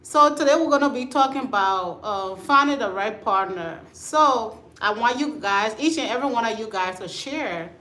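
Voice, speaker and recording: A woman's voice: fairly high pitch, expressive, normal speed, few pauses, bright, forceful tone, reverberant. A woman from Liberia, hosting a casual livestream chat and answering viewer comments. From Liberia, West Africa. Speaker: livestream host. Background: storm and rain outside.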